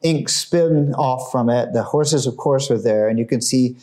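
A man giving an informal, enthusiastic talk about artworks in a museum room, growing hushed and solemn at the tragic pieces. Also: mid-range voice, a little slow. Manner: enthusiastic, conversational, drops his voice for solemn works. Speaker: museum guide presenting artworks. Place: museum gallery room, indoors.

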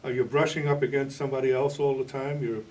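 A man in his sixties explaining things as a television interview guest, in a halting, measured way. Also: mid-range voice, fairly even intonation, a little mellow, recorded from a distance, low-quality recording. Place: indoor TV studio, green screen.

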